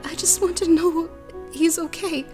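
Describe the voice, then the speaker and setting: A woman's voice: extremely high pitch, steady tone, a little slow, a little mellow, soft background music. A woman with an American accent playing a sad, sympathetic young woman in an amateur radio play.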